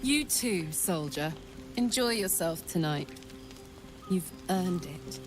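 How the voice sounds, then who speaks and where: A woman playing a hearty, blunt, warm-hearted fighter in a video game, speaking in an earthy, heartfelt way. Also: on the low side, expressive, slow, soft background music. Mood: wistful, friendly. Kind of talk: video game character acting.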